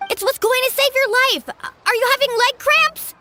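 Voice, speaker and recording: A woman's voice: very high-pitched, moderate pace, sharp tone, slight background noise. A woman playing a sunny, easygoing young woman in a fantasy game, breezy and upbeat. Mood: urgent. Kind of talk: animated fantasy game acting.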